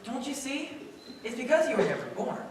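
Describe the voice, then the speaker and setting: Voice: sits high, lively intonation, a little fast, recorded from a distance. A performer playing a very old, guileless angel in a stage radio play, explaining things earnestly and matter-of-factly. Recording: echoey stage from afar, loud noise.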